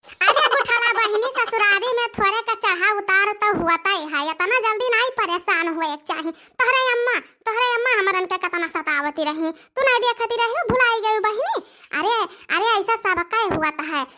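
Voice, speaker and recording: A woman voicing a blunt, gossipy village sister-in-law in a comedy cartoon, with an Awadhi accent and a pitched-up voice. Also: pushed and bright. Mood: lecturing, consoling. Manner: chatty, lecturing, mocking.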